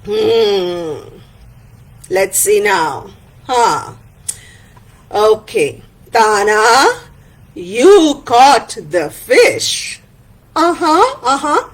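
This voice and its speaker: A woman voicing a stern, sly old male village elder in a story, slow, pondering and authoritative. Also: on the high side, big pitch swings, full character voice. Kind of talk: oral storytelling character voice.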